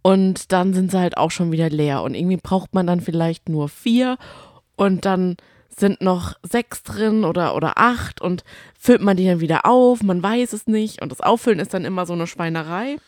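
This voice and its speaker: A woman with a fairly low voice co-hosts a casual podcast. She talks in a loose, colloquial, rambling storytelling style. The sound is very dry, with no room around it. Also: normal speed, a little mellow, dry recording. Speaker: podcast co-host. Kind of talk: casual chat.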